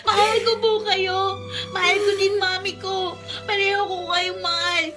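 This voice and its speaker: A little Filipina girl with a very high, childlike voice. She is stubborn and earnest, drawing out her words in radio melodrama over loud music. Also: little pitch movement, unhurried. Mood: pleading. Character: earnest, stubborn young granddaughter pleading for her mother. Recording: loud dramatic music underneath.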